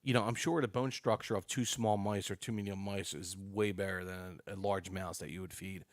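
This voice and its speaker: A man's voice: deep voice, fairly even intonation, fairly quick, no room sound, clean studio sound. A man in his late forties, a podcast guest chatting casually and animatedly, full of "you know" and "dude". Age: 40s. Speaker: podcast guest, snake breeder.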